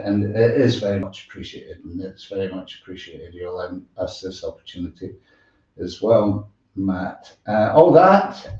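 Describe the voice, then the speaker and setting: A man's voice: low voice, mellow. A man casually hosting a live online music show, with a hesitant, meandering delivery. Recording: muffled, echoey, far from mic.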